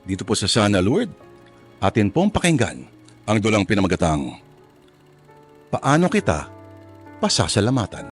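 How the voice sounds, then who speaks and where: A man hosts a radio drama program with a lively, swinging delivery at a middling pitch. He talks to listeners like a preacher, posing rhetorical questions as he sets up the story, with soft music underneath. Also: moderate pace, long pauses. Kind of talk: conversational radio introduction to a drama. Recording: music behind the voice. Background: background music.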